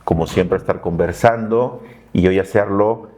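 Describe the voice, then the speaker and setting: A man, a coach who speaks in a measured, reflective way on a podcast. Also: deep voice, darkish tone. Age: 40s. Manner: measured, reflective, with many "eh" fillers. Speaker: life coach and psychologist. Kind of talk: casual podcast chat.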